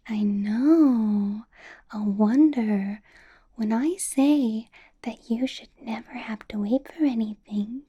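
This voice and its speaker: A woman playing a doting, possessive and sweetly menacing female vampire boss in a whispered ASMR roleplay, with slow, breathy delivery. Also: on the high side, heavily acted. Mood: quietly menacing. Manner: breathy, slow whispering with soft little laughs.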